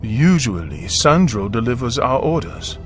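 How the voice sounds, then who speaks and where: A man plays a tired middle-aged father from South Korea, calm and caring, his pitch moving up and down with life. Faint music plays underneath. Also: unhurried. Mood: puzzled. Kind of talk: radio-style story acting.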